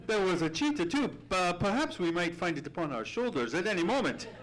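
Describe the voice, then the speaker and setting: A man playing an earnest gentleman sidekick who narrates a mystery with theatrical, deliberate storytelling in an old-time radio play. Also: high voice, very animated. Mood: alarmed. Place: theatre stage. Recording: humming, noisy live theatre recording.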